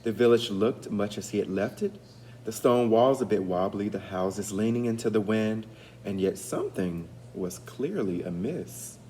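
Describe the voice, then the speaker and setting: A man reading a storybook aloud as an educator, pausing to put guiding questions to young listeners. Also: fairly low pitch, mellow, a little hiss or hum, rough recording.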